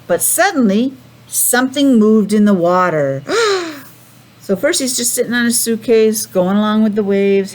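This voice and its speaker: A woman teacher reading a picture book aloud to young children, with chatty asides and simple questions. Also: mid-range voice. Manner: animated, addressing small children with simple questions.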